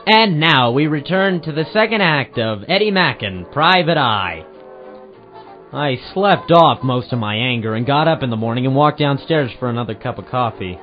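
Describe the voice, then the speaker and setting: A hard-boiled private eye, acted by a man, wry and sardonic, narrates and spars in old-time radio style. His pitch moves around in a lively way, over music in a slightly dull recording. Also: moderate pace, lightly acted. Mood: narrating dryly. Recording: muffled amateur radio-drama recording with music.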